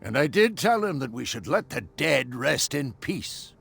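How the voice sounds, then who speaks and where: An actor playing a surly, hostile caretaker in a video game, with a gruff, threatening manner and raspy voice. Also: male voice, mid-range voice, sing-song, unhurried, fairly bright tone, full character voice. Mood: stubborn, guarded. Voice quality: gravelly, raspy. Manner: gruff, threatening, old-fashioned tough slang. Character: surly, hostile male caretaker guarding a burnt mansion. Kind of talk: video game cutscene acting.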